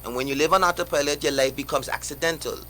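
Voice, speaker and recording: A man's voice: fairly high pitch, quick pace, pushed and bright, a little hiss or hum, poor audio. A young man, a guest on a live radio interview, talking passionately in rhetorical questions with many restarts.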